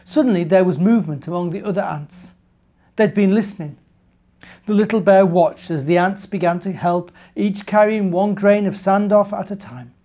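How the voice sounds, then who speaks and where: A man's voice: high voice, mellow. Emphatic British man reading a storybook. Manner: emphatic, projected, measured phrases. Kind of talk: expressive picture-book narration for children.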